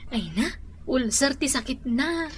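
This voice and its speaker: An actress playing a patient adult daughter, soothing and gently scolding in a radio drama. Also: female voice, high-pitched, animated, unhurried, very noisy. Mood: apologetic. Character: patient adult daughter calming her grumpy father. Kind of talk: Filipino radio drama acting.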